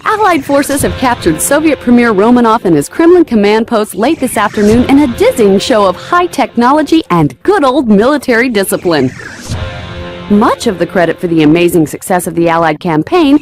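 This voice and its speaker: An actress playing a brisk, triumphant television news reporter in a video game cutscene. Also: female voice, on the high side, sing-song, faint music behind.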